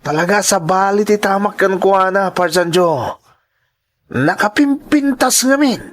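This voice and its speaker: A scheming young man in a radio-style drama , played by a male actor. His voice sits fairly high and swings widely in pitch. He is lovestruck and slippery, with an animated delivery. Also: a little slow. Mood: eager. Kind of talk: audio drama acting.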